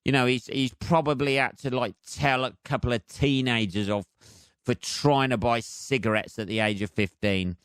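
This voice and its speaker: A man's voice: medium pitch, fairly even intonation, normal speed, a bit bright, clear, resonant tone, no room sound, clean studio sound. A British man hosting a solo comedy podcast, ranting casually in a sweary, sardonic, rambling way. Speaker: podcast host.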